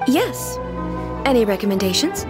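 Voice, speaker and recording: Dignified, animated female shogun. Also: fairly high pitch, moderate pace. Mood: calm, curious. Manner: formal, composed, precise diction. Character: dignified female shogun ruler, sheltered, earnest, curious. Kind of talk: scripted video game voice acting. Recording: background music behind the voice.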